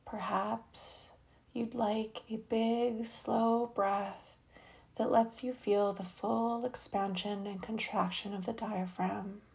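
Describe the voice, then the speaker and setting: A woman leads a guided relaxation, speaking very slowly in a soft, soothing manner with long, patient pauses. Her voice is mellow and warm at a middle pitch. The recording is a little dull and lo-fi. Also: level tone, creaky. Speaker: yoga nidra guide. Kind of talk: guided meditation.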